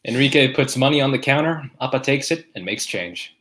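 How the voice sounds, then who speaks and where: A man's voice: mid-range voice, expressive, a little fast, a bit bright. A man reading out stage directions at a live table read over a video call.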